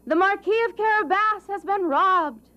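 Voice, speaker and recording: A woman's voice: very high-pitched, moderate pace, sharp tone, muffled recording, pitched above the performer's own voice. A woman voicing a scheming, bossy male talking cat in a children's fairy tale, eager and urgent in manner. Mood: urgent, calling out. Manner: urgent, exclamatory.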